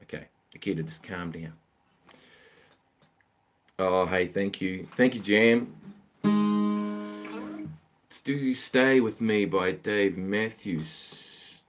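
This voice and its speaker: Male guitar teacher hosting a home live stream, low-ish voice, relaxed and rambling, guitar audible underneath. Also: slow, long pauses. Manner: rambling, easygoing, answering viewer requests with trailing fillers. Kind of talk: casual live-stream chat between songs. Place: home, with a water pump running in the background.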